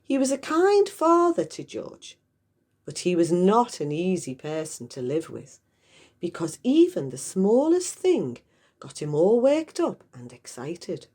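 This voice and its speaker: Very animated, slow-paced woman storyteller. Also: on the low side. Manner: expressive, dramatic emphasis. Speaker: storybook reader. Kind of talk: animated scripted children's story narration.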